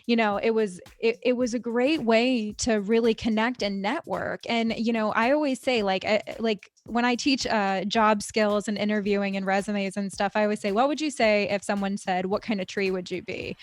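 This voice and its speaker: A woman hosting a podcast, a hair educator who tells her stories in an energetic, emphatic way. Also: medium pitch, moderate pace, hardly any pauses. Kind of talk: podcast conversation.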